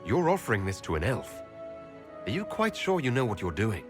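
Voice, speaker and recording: An actor playing a measured, determined male elf hero in a video game, slightly husky. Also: lowish voice, huge pitch swings, music in the background. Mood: grave, determined. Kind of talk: video game cutscene acting.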